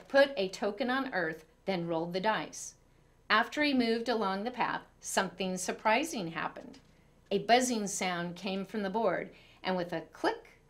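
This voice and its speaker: A woman reads aloud as a storyteller. She narrates a children's picture book expressively, at a medium pitch for a woman.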